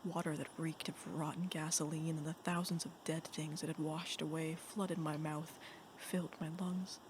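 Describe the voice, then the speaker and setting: A woman giving a dramatic reading of a first-person horror story in a breathy, whispery, deliberate voice. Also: low voice, little pitch movement, noisy background.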